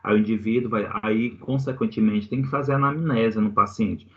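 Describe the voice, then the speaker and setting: A man's voice: lowish voice, fairly even intonation, moderate pace, dull audio. A man giving a live online lecture on treating colds and flu, explaining step by step and checking in with the class. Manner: explanatory, many restarts and "tá?" checks. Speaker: pharmacy lecturer.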